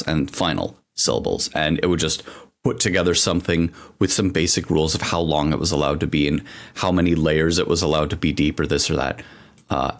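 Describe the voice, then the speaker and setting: A man's voice: low voice, gravelly. A man co-hosting a podcast, chatting casually and telling rambling stories full of asides. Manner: rambling, animated storytelling with frequent asides. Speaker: podcast co-host.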